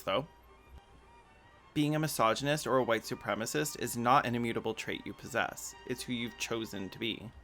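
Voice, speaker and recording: A man's voice: medium pitch, a bit bright. Sarcastic man hosting a video essay. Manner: sarcastic, mocking asides.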